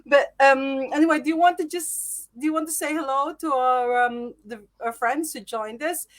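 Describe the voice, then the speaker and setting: Female podcast host, high-pitched, nervous and hesitant, lots of fillers. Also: normal speed. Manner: nervous, lots of ums, giggly. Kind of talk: casual live podcast hosting.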